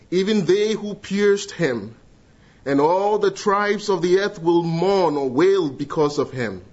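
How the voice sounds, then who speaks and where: A middle-aged man from Ghana with a Ghanaian accent, giving an earnest church testimony and leading prayer, with pauses and restarts. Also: mid-range voice, highs cut off. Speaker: guest speaker giving testimony.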